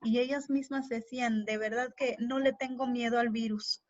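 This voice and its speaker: A Mexican woman whose first language is Tének, speaking earnestly and haltingly as an invited guest in an online interview. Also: a little high, steady tone, no room sound, dull audio. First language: Tének (Huastec). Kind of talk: earnest interview answers in a live online talk.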